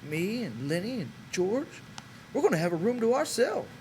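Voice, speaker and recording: Male reader as wistful old farm laborer, American, folksy, high lively voice, storybook drama, noisy recording. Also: moderate pace. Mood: earnest, dreamy. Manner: earnest, folksy rural talk. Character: old one-handed ranch swamper, wistful and stubborn. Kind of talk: dramatic audiobook character voice.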